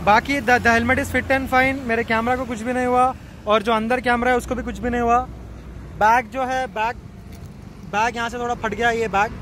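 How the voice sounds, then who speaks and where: A man's voice: very high-pitched, flat delivery, moderate pace, a bit bright. A young man from India with a Hyderabadi accent, casually vlogging with emphatic, repeated phrases. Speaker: motovlogger. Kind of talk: casual vlog narration. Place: outdoors on a highway roadside and riding a motorbike. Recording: outdoor roadside and road noise.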